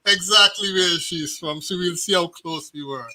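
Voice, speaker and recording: A man's voice: high voice, normal speed, heavy background noise. A man hosting an informal talk show, often repeating his words and phrases as he goes.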